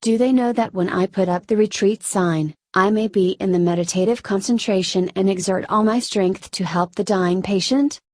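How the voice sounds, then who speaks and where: A woman's voice: medium pitch, moderate pace, few pauses, darkish tone, dry recording, studio quality. A woman reading a scripted first-person narration of a Buddhist story.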